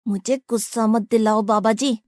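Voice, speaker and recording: A high-voiced young boy devotee played by a woman from a cartoon moral tale. He is hungry, hot-headed and quick to complain, speaking bluntly to his elders. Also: steady tone, a little mellow, no room sound, clean studio sound, close to an everyday voice. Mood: indignant. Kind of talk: animated moral-story acting.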